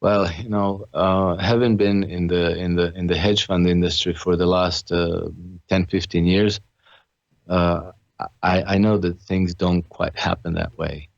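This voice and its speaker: Low-voiced man, earnest and halting. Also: fairly even intonation, gravelly, no echo, muffled recording. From Croatia. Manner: earnest, with many restarts and fillers. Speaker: podcast guest, author and trader. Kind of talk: conversational interview.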